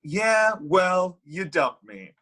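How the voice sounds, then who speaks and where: A man's voice: high voice, sing-song, very slow, sharp tone, exaggerated voice. A man reading the part of a flippant, evasive, bitter thirty-year-old Cupid at a comedy table read. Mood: bitter, sarcastic.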